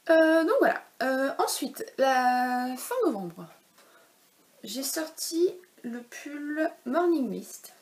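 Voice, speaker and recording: A chatty woman in her thirties talks about her knitting at home. Her voice sits a little high, and she stops often to hesitate or correct herself. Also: animated, slow pace. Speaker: knitwear designer presenting her work. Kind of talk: casual knitting podcast chat. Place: home, in daylight.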